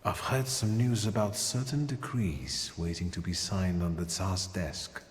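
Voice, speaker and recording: A man acting a proud, cool-headed thaumaturge in a story video game, speaking in a measured, self-assured way. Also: low-pitched, in a large hall, slight background noise. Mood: composed, scheming. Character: proud, defiant male thaumaturge, cool and scheming.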